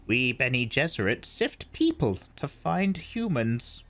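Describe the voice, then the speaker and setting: A man's voice: medium pitch, very animated, slow, a bit bright, voice pushed up, exaggerated voice. A man reading aloud, voicing a stern, commanding old woman elder with a clipped, probing manner.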